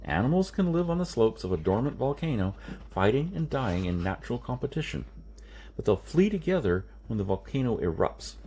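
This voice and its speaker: An insistent man lectures and explains with great emphasis , as read by a male audiobook narrator. His husky voice is lowish and swings widely in pitch as he reasons. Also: a little mellow, exaggerated voice. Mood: explanatory. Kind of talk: audiobook character dialogue.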